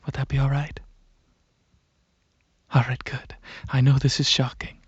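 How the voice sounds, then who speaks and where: A performer of unclear sex voicing a calm, polite dragon alien researcher in a soft, whispery, gently halting ASMR roleplay, close to the microphone. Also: mid-range voice, dry recording. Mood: gentle, curious. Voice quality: soft, breathy, often whispery. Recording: close, dry, slightly muffled recording.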